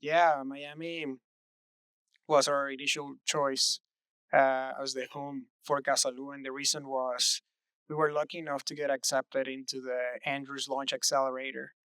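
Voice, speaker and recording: Earnest young Venezuelan-born founder, crisp voice. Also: male voice, medium pitch, little pitch movement, normal speed, pushed and bright, no echo. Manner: earnest, reflective, frequent "uh" fillers. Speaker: startup co-founder, interview guest. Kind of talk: relaxed interview answers.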